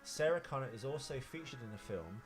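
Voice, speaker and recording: A man's voice: medium pitch, expressive, a little fast, music in the background. A man co-hosting a podcast in casual film banter, rambling and jokey, often poking fun at himself. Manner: jokey, rambling, self-deprecating. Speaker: podcast co-host. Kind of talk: casual banter about films.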